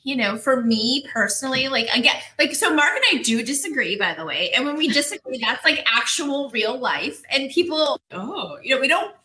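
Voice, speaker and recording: A woman guest with a high-pitched, girlish voice, talking candidly and animatedly, laughing easily. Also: very animated, normal speed, hardly any pauses, sharp tone, poor audio. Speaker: guest, agency founder and CEO. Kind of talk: casual interview conversation.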